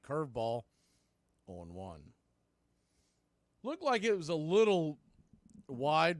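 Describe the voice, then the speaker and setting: A man calling live baseball on the radio, mixing clipped pitch calls with conversational, partisan asides. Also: no echo. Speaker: baseball radio play-by-play announcer. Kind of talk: live sports commentary.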